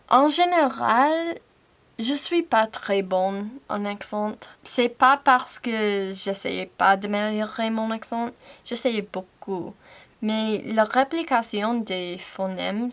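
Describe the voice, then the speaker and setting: A young American woman whose first language is English speaks French in a slow, deliberate way. She takes her time and breaks off now and then to fix a word. It is a relaxed, candid home video recorded on a camera mic. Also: mid-range voice. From United States. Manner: slow, careful, hesitant with self-corrections. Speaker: language-learning YouTuber. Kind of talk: candid personal vlog in learner French.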